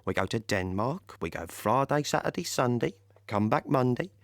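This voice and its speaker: Cheeky English wartime lorry driver played by a male actor, animated pitch, rambling colloquial monologue, dry close sound. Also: normal speed, no echo, lightly acted. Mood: wistful, amused. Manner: chatty, colloquial, rambling, repeats himself. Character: cheeky, proud British army supply lorry driver. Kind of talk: first-person dramatic monologue in audio play.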